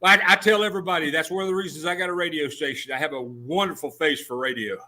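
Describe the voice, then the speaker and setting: A man hosting a radio show, talking in a folksy, joking way with halting restarts. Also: a little high, fairly even intonation, sharp tone. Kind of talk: casual on-air interview.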